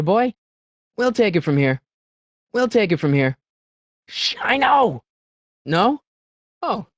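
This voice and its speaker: A man's voice: high voice, dry recording, clean studio sound, full character voice. A man playing an earnest, anxious American teenage boy in a video game, with a hesitant, stammering way of talking to himself. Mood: tentative, musing. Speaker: voice actor. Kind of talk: video game character voice acting.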